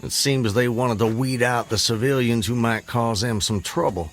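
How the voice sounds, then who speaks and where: An actor playing a proud, resolute Southern planter of the Civil War in a radio drama, speaking formally and gravely. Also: male voice, mid-range voice, moderate pace, a little hiss or hum, close to an everyday voice. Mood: guarded, bitter. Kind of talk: radio drama acting, narrating and dialogue.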